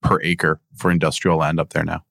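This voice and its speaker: A man's voice: very deep voice, flat delivery, a little fast, creaky, fairly bright tone, no echo, clean studio sound. A man in his thirties co-hosting a podcast, joking, casual, with stumbling restarts. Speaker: podcast co-host. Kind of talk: casual podcast chat and ad reads.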